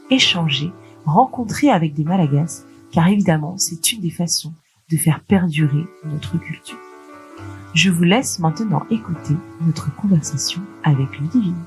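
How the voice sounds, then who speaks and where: A woman hosting a podcast, reading a clear intro and then asking chatty questions with fillers. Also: fairly low pitch, a little mellow. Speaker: podcast host. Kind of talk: scripted intro, then interviewing.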